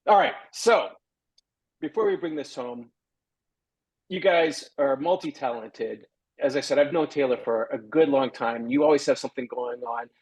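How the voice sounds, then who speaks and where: A man's voice: mid-range voice, moderate pace, a little mellow, slightly rough, poor audio. A man hosting an interview, upbeat and salesy as he plugs the next release. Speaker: host.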